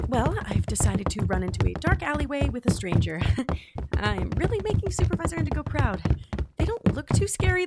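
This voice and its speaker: A woman playing an excitable, irreverent field agent logging a mission in an audio drama, informal and easily flustered. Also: high-pitched, heavy background noise. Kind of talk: scripted audio drama acting.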